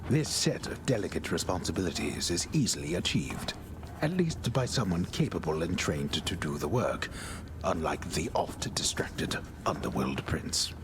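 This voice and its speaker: A man voicing a grandiose old bard narrator who speaks in a hushed, ornate, mock-solemn way in a video game. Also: low-pitched, animated, normal speed, background noise. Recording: game audio with music behind.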